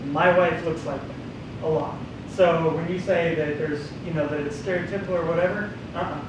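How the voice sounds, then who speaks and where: A man's voice: on the high side, steady tone, a little fast. A married man giving candid, rambling feedback in a group discussion, recorded from across an echoey room. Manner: rambling, candid, many restarts. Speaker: audience member giving feedback. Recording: echoey room from a distance with noise.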